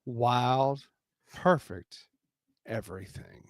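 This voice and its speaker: A man narrating a dramatic romance reading theatrically, with deliberate pauses, on a close microphone. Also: medium pitch, no room sound. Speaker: narrator and reader.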